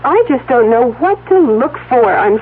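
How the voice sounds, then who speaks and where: A woman playing a flustered, excitable shopper in a radio advertisement. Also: high-pitched, normal speed, narrow, tinny audio. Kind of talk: radio acting. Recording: band-limited old radio, faint noise.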